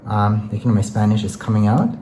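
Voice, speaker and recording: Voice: medium pitch, steady tone, a little fast, mellow. A beauty vlogger chatting enthusiastically and casually through a makeup product review, often dropping in filler phrases like "you know". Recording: roomy echo, faint background noise.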